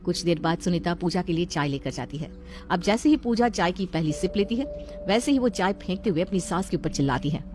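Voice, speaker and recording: A woman's voice: on the low side, lively intonation, fairly quick, darkish tone, a little hiss or hum. A woman storyteller reading a scripted moral tale as narrator.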